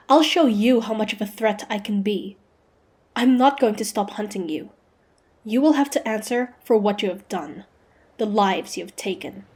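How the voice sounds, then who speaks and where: A woman's voice: mid-range voice, measured pace, a little mellow, natural voice. A woman playing a proud, defiant monster hunter in a dramatic fantasy audio roleplay. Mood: angry, shocked. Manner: haughty, taunting, dramatic. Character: proud, defiant young female monster hunter, grudgingly curious.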